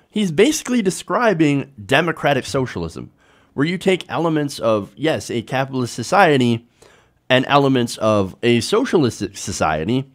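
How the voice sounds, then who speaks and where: An American man delivers opinionated political commentary as a show host. His voice sits at a medium pitch and moves around a lot for emphasis. He argues emphatically, leaning on rhetorical questions.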